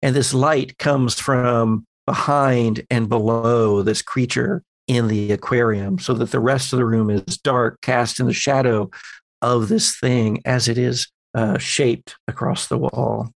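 A man runs a horror tabletop game, describing scenes as he invents them. His speech is slow and deliberate, with halting pauses. The sound is dry, with little room on it. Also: medium pitch, fairly even intonation, creaky, dry recording. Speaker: game master. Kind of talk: improvised tabletop horror narration.

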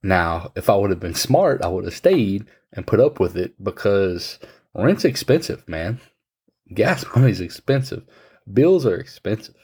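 Deep-voiced man in his thirties, frank. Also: sing-song, a little slow, a little mellow, breathy edge. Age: 30s. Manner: frank, advice-giving, swears casually. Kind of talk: casual chat.

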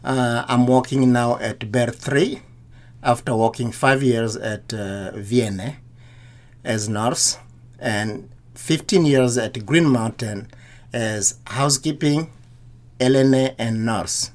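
Slow-speaking middle-aged man with Congolese accent. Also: mid-range voice. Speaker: nurse, interview guest. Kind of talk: personal storytelling.

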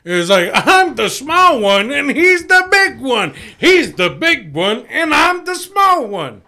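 A man's voice: extremely high pitch, big pitch swings, slow pace, pushed and bright. A man hosting a podcast, joking and teasing, and acting out little bits in casual banter. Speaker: podcast host.

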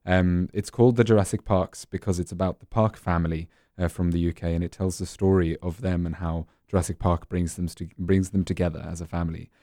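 An actor guest from Norway who answers earnestly and explains things carefully. His voice is very low and warm. The sound is dry. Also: steady tone, fairly quick, few pauses, no room sound. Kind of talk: interview answers.

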